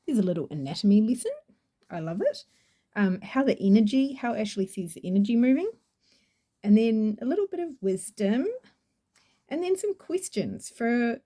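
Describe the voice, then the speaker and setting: Mellow-voiced New Zealand woman gushing. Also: mid-range voice. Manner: chatty and gushing, rambling with fillers. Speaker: oracle deck reviewer. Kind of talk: casual product review.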